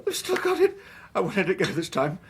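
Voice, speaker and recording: An actor playing a fragile, overwrought English gentleman in a radio drama, husky, nervous and emotional. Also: high voice, wildly swooping pitch, fairly quick, noisy background, full character voice. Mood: overjoyed, frantic.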